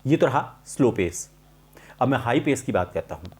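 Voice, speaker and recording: Middle aged guy doing a voice training lesson, normal pitch, explains stuff deliberately w/ emphasis and pauses. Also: very animated, a little mellow. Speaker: voice-over artist and voice coach hosting a tutorial. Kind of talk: explanatory video lesson addressed to viewers.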